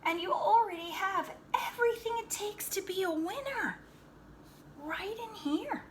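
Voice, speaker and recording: A woman voicing a loving, encouraging father from a storybook, speaking in a gentle, reassuring and emphatic way. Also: very high-pitched, animated, measured pace, slight background noise, voice pushed up. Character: encouraging, loving father advising his young son.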